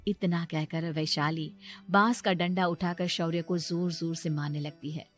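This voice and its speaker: A woman's voice: on the low side, steady tone, unhurried, soft background music. A woman narrator reading a scripted story.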